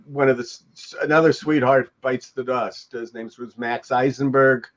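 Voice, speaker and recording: An older man co-hosting a casual chat over a video call, reminiscing in a halting way. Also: mid-range voice, steady tone. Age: older, 60s or 70s. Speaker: podcast co-host. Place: room at home, indoors. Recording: dull, lo-fi call audio.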